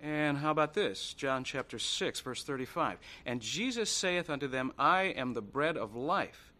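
A man's voice: mid-range voice, lively intonation, unhurried, sharp tone. An actor playing a sincere, earnest and slightly hesitant young man in a radio drama. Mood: eager, earnest.

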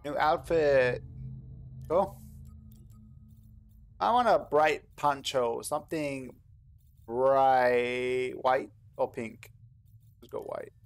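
A man's voice: mid-range voice, very slow, pauses often, faint music behind. A man giving casual, playful gameplay commentary as he talks to himself while hosting a gaming video.

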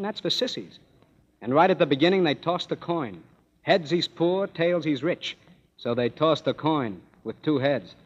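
An actor playing a cynical young male musician in his twenties, firing off dry sarcastic wisecracks, in a 1930s radio play. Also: on the high side. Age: 20s. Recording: dull old radio broadcast, long hall reverb, music behind.

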